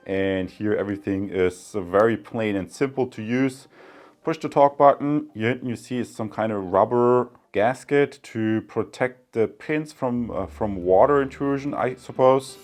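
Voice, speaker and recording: A man with a fairly low voice hosts a casual product review. He chats informally and speaks straight to his viewers as he explains things. There is music in the recording behind his voice. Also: a little mellow. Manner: chatty, informal, addresses viewers directly. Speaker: product review video host.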